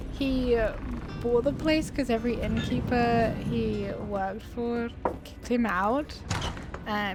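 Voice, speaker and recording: A woman giving casual, chatty gameplay commentary as a video host, reacting aloud as she plays. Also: a little high. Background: game music.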